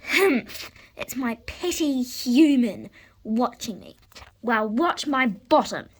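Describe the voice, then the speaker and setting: A woman's voice: a little high, expressive, breathy edge, exaggerated voice. A woman voicing a snooty sulking horse. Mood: sulky, snooty. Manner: slow, snooty, disdainful. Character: sulky, haughty horse.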